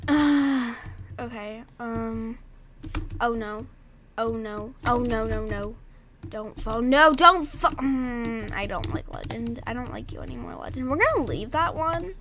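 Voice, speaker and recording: A woman's voice: a little high, background noise. A woman with a stuffed-up nose casually commenting while she plays a game, chatting to herself and her horse. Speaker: gaming vlogger.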